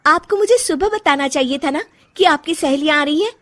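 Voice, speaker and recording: A woman voicing an unhappy young bride in a cartoon story, resentful and quick to snap, sometimes tearful. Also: high-pitched, pitched above the performer's own voice, natural voice. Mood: angry. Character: young unhappy bride, frustrated, sharp-tongued.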